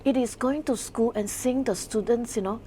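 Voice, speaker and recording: A woman's voice: fairly high pitch, normal speed, dark tone, slight background noise. A woman who grew up speaking Mandarin, an English teacher and children's author, talking earnestly in an interview with frequent restarts. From Sarawak, Malaysia. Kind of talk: interview guest.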